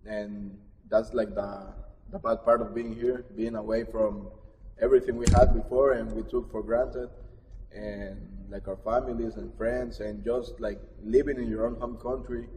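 A man's voice: fairly low pitch, flat delivery, a little slow, darkish tone. A young man from Honduras, a graduate student, speaking earnestly and gratefully with some fillers. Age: young adult. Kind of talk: unscripted personal remarks. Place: large chapel with stone walls, indoors. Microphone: camera mic from across the room. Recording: hall reverb, distant mic, faint room hiss.

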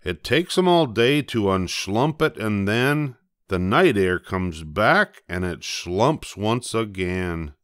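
An older man reading a rhyming children's storybook aloud, expressively, with playful emphasis and drawn-out words. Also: on the low side, sing-song, fairly bright tone, clear, resonant tone, no echo, clean studio sound. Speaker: storybook reader.

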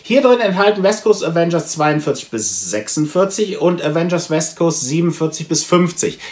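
Higher-pitched man, casual vlogger. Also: expressive, normal speed. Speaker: comic blog video host. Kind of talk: casual haul vlog talk to camera. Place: small indoor room with shelves of comics.

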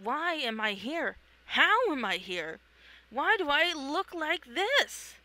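A performer voicing an excitable, blunt and wary young superhero in a comic read-along. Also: high voice, slow, pitched above the performer's own voice, exaggerated voice. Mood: confused, alarmed.

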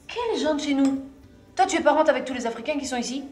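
A woman's voice: high voice, lively intonation, quick pace, darkish tone. An actress playing a bookish, argumentative exiled woman in her thirties, teasing and questioning in naturalistic film dialogue. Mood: questioning, insistent. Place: dining room at a dinner table. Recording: echoey room, faint music, clinking dishes.

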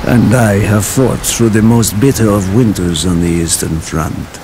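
An actor playing a brooding older Russian soldier with a Russian accent and gravelly voice, solemnly recalling the war in a dramatic game narration. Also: male voice, fairly low pitch, steady tone, background noise. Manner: solemn, deliberate, breaking into near-whisper.

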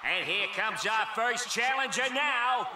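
An excitable cartoon ring announcer, pitched very high and stretching words out like a showman. The voice is bombastic and rings with echo, as if from across an arena. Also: raised voice, noisy background. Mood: grand, hyped. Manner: booming, drawn-out showman delivery. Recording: echoey, distant arena sound, music behind.

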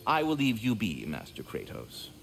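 A man's voice: fairly low pitch, wildly swooping pitch, normal speed, creaky, a bit bright, slight background noise, exaggerated voice. An actor voicing a courteous, wordy, fatherly giant squirrel with flowery formal speech in a video game. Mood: cheerful, chatty. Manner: verbose, formal, flowery diction. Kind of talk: theatrical video game acting.

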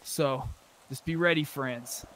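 A man's voice: mid-range voice, slow pace, fairly bright tone, slight background noise, low-quality recording. A man playing an online roleplay game, chatting casually with a bantering, mock-tough manner and some swearing.

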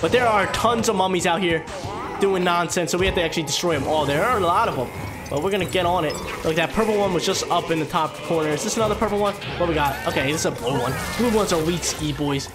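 Congested young man gaming, high and quick. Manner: hyped, chatty, talks to viewers. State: congested, stuffy nose. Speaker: gaming channel host. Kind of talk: gameplay commentary. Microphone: headset. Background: game music.

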